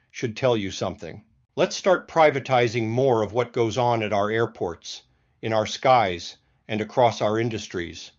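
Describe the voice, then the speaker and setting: Man delivering folksy political commentary, slightly muffled. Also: mid-range voice. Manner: folksy, emphatic, rhetorical questions. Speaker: host of a political commentary show. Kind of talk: scripted political monologue.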